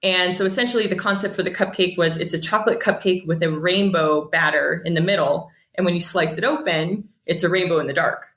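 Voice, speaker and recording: A woman's voice: on the low side, fairly quick, hardly any pauses, echoey room. An American woman in her thirties chatting casually as a podcast guest, telling stories and acting out bits of dialogue. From San Jose, California, United States. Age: 30s. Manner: chatty, animated quoting of dialogue, laughs often. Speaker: podcast guest, bakery owner. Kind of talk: casual conversational storytelling.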